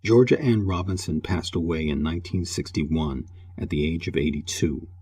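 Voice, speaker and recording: Deep, mellow male documentary narrator over music. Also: normal speed.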